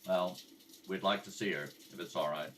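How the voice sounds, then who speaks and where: A low male voice of a man acting as a police detective in a radio play. He is deadpan, terse and all business. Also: normal speed, recorded from a distance, noisy background, lightly acted. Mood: firm. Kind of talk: amateur radio drama read-through with clipped narration.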